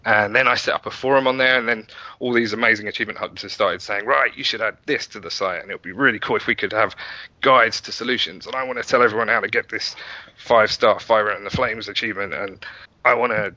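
A man's voice: on the low side, fast, sharp tone, no room sound, rough recording. A middle-aged man talking casually as an interview guest, explaining how he built something in a self-deprecating way. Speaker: interview guest, website founder and developer.

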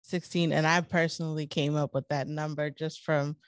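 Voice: medium pitch, moderate pace, dry recording, studio quality. A speaker from an Eritrean family who spent early childhood in Ethiopia, chatting casually and adding personal experience to the conversation. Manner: relaxed, chiming in with personal stories.

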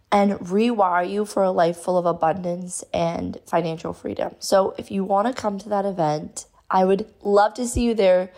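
A woman hosting her own podcast, talking casually and effusively with a hoarse voice she has lost. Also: mid-range voice, a little mellow. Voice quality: gravelly, strained. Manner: bubbly, effusive. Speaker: podcast host.

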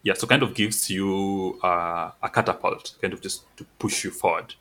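Man, podcast co-host, very low pitch, forceful tone, halting delivery. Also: steady tone. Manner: hesitant, many "uh" and "kind of" fillers. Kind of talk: casual chat.

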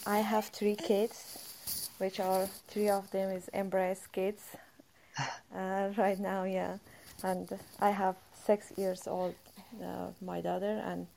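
A woman's voice: medium pitch, creaky, a little mellow, slight background noise, poor audio. A woman from Afghanistan, working as a community liaison and talking simply about herself.

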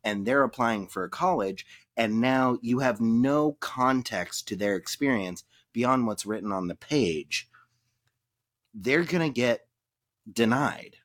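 A man's voice: mid-range voice, lively intonation, slow, fairly bright tone, no room sound, clean studio sound. A man co-hosting a podcast who asks probing, teasing questions in casual debate. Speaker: podcast co-host.

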